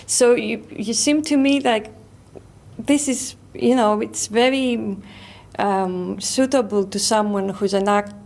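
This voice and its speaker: Woman TV interviewer, raised pitch, creaky edge, halting repeated words. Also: steady tone, a little mellow. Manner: halting, repeats words while forming questions. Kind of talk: interview questions in English.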